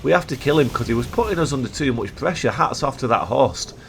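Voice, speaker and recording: Croaky man, playful game commentary. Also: medium pitch, expressive, fast, a bit bright, slight background noise. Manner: playful, jokey, enthusiastic. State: croaky from a cold. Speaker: gaming video host. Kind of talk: casual gameplay commentary.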